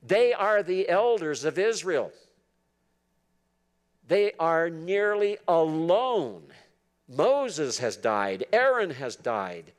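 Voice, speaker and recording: A man's voice: a little high, very animated, drawn-out words. A man in his seventies giving a Bible teaching, reading verses aloud and explaining them earnestly. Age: 70s. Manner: earnest, switches between reading aloud and commentary. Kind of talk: sermon, reading and explaining scripture.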